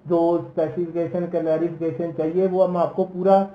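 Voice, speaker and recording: Higher-voiced man talking in a roomy office. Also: flat delivery, normal speed, highs cut off, soft background music. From Punjab, India. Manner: casual, hesitant, many fillers and restarts. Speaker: machinery company salesman. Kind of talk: product explainer video. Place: office seated at a desk.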